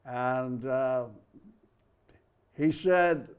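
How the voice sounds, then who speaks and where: An older man with an Irish accent, a guest in a TV studio telling lively anecdotes and acting out the voices of people he quotes. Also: mid-range voice, extremely slow, smooth. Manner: lively storyteller who acts out quoted voices. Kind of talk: anecdotal storytelling.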